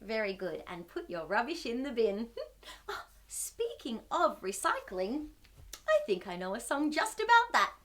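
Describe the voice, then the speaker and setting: High voiced lady doing kids storytime, takes her time, asks the little ones questions. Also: big pitch swings, a little slow, fairly bright tone. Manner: exaggerated gasps and questions to young listeners. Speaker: children's storytime host. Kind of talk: animated picture-book read-aloud for young children.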